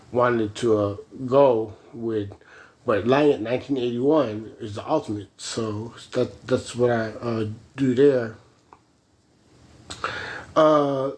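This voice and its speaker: An American man in his forties rambling casually in a halting, slangy, meandering way. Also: mid-range voice, darkish tone. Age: 40s. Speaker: vlogger.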